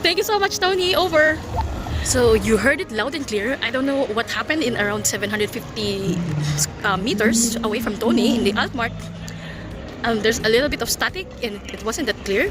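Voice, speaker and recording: A woman's voice: fairly high pitch, very noisy. A woman with a Filipino accent, a native Tagalog speaker, giving casual vlog commentary. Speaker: vlogger reviewing a product.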